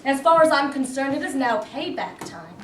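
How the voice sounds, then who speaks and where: A woman's voice: high voice, big pitch swings, moderate pace, fairly bright tone. A woman playing a fiery defiant activist. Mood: impassioned. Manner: defiant and impassioned. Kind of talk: staged reading. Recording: echoey room, read from far off, noisy.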